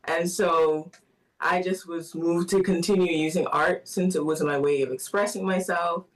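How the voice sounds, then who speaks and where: A soft-spoken woman answers a radio interviewer, her speech full of little fillers and light laughs. Her voice sits a little away from the microphone. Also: fairly low pitch, fairly even intonation, normal speed. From Bahamas. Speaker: guest artist. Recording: voice somewhat distant from the mic.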